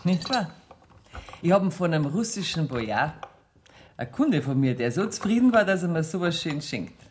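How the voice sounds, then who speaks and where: A woman's voice: low voice, very animated, normal speed, darkish tone. An actress playing a shrewd, courteous Bavarian woman banker receiving clients in a radio drama. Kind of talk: German radio drama acting. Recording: 1970s radio production with clear noise.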